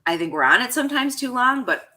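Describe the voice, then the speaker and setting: A woman's voice: fairly high pitch, fast. A woman with a Chicago accent, a chef and coach, chatting enthusiastically as a guest on a radio show. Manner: enthusiastic, animated. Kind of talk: casual interview chat.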